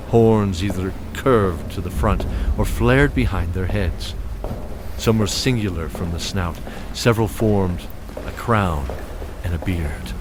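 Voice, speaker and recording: Male narrator for an audio drama; low-ish, measured, descriptive, music bed beneath. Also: background noise. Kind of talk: scripted fantasy narration.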